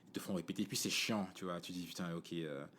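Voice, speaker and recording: Deep-voiced Cameroonian man chatting casually. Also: fast talker, fairly bright tone, a little hiss or hum. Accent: Cameroonian French. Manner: conversational, many fillers and "tu vois" asides. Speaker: podcast host. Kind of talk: casual monologue.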